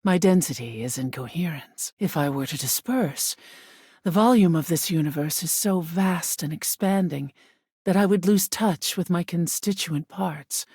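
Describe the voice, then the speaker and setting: A woman voicing an ancient, aloof female spirit of air in a video game, with a husky, breathy voice that often sinks to a whisper and a solemn, formal delivery. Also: lowish voice, unhurried, a little mellow, no echo, clean studio sound. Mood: awed, solemn. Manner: languid, imperious, solemn, formal diction. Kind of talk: video game character acting.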